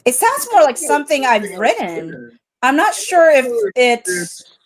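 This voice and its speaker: A woman's voice: high voice, wildly swooping pitch, measured pace, rough recording. A woman co-hosting a live stream, reading a witness's answers in a hesitant way.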